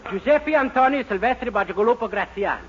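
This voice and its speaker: A man's voice: very high voice, animated, fast, crowd noise. A man putting on a breezy, overconfident barber with a mock Italian accent for a 1940s radio comedy. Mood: breezy, bluffing. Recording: dull, noisy 1940s radio recording.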